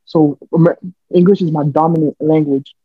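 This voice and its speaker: A young man with an American accent, talking through his thoughts in an earnest, rambling way and restarting often. His voice sits fairly high but stays flat and even. The recording is dull and dry, like a home podcast setup. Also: moderate pace, husky, no room sound. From United States. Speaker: podcast co-host. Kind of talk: casual chat.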